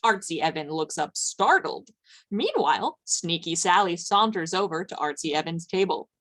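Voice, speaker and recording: A woman's voice: lowish voice, big pitch swings, moderate pace, a bit bright. A woman narrating a scripted old-time radio comedy play.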